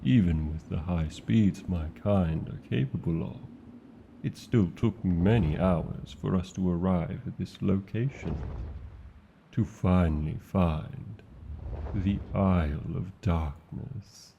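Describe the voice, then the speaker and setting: A proud, protective male dragon with a British accent, voiced by a man, doting on his human partner in slow, formal phrases. His voice sits very low with a rough, gravelly edge and a mellow, dark tone. The recording is a little muffled and lo-fi. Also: a little hiss or hum, heavily acted. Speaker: voice actor. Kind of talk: fantasy ASMR roleplay.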